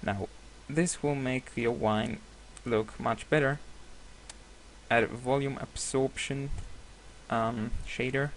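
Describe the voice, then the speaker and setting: A man whose first language is Hebrew, giving a screen-recorded software tutorial with many hesitations and self-corrections. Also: medium pitch, steady tone, slow pace, slight background noise. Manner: apologetic, laughs at himself.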